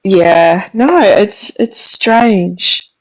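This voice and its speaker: A woman's voice: medium pitch, lively intonation, extremely slow, rough recording. A woman co-hosting a casual livestream chat who mostly gives short, agreeable replies. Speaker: livestream co-host.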